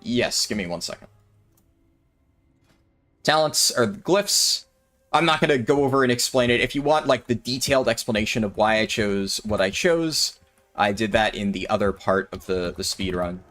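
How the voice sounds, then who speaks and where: A man giving relaxed, rambling livestream commentary while playing a game and answering chat, sounding a little tired. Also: lowish voice, normal speed, faint music behind. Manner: chatty, thinks aloud, answers chat. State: maybe tired or sore throat. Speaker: streamer.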